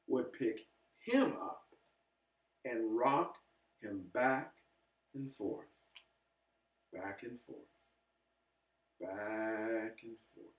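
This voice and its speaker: A man reading a children's story aloud expressively and deliberately, pausing between short phrases. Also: mid-range voice. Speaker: storybook reader. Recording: echoey room, distant from mic.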